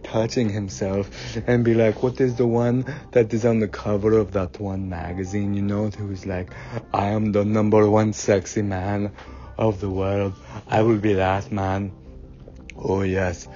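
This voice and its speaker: A man playing a boastful, self-confident man who dreams of rock stardom, speaking in a deliberate, grandiose way with stilted formal phrasing. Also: lowish voice, little pitch movement, warm tone, natural voice. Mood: self-assured. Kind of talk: comic character monologue. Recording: dull lo-fi sound with faint music.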